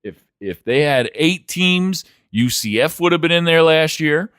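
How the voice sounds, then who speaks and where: A man's voice: fairly high pitch, expressive, measured pace, a bit bright, smooth, no room sound, studio quality. A man co-hosting a casual sports chat, speaking bluntly and opinionatedly with plenty of swearing. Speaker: sports podcast co-host.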